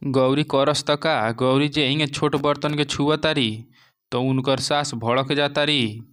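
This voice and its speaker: Clear-voiced Bhojpuri male storyteller. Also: medium pitch, steady tone, a little slow, fairly bright tone. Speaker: narrator. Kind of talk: scripted moral-story narration.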